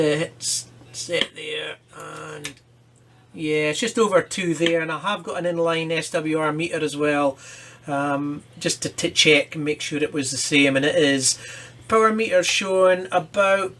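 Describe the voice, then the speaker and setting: Man, fairly high-pitched voice, casual hands-on demonstration, informal, thinking aloud at a workbench. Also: a bit bright. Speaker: presenter of a hobby electronics repair video. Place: workshop, at a workbench.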